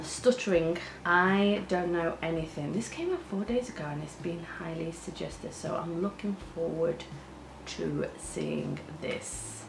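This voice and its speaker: Lady in her 40s doing a reaction vid from her living room, chatty, trips over words, kinda low voice, roomy echo. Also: slight background noise. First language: Spanish. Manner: chatty, stumbles over hard words, gestures a lot. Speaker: reaction channel host. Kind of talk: casual reaction chat. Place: living room with sofa and plants, indoors.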